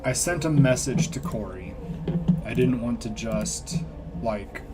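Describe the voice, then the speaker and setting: A man's voice: mid-range voice, measured pace. A man chatting casually on a livestream, rambling and hesitant with frequent restarts. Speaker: streamer. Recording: music playing behind.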